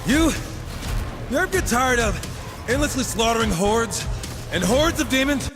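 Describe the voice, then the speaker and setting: A man's voice: extremely high pitch, animated, normal speed. An actor playing a cocky, irreverent gunslinger horseman in a video game, casual and sardonic. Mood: swaggering. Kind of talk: video game character acting. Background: music.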